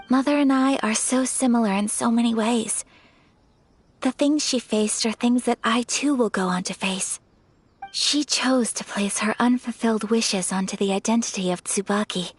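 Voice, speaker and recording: A woman playing a gentle, refined young noblewoman in a video game, speaking politely and earnestly in a formal, measured way. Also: a little high, natural voice. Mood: solemn, resigned. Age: young adult. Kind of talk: video game character acting.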